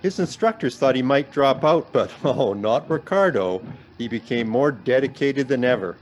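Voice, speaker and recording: A man acts out a male traveller stranded in a snowstorm, in a naturalistic radio play. His pitch swings widely, and he is anxious yet wry and self-deprecating. There is faint noise of the storm around him. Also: lively intonation, normal speed, muffled recording, natural voice. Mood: wistful, wry.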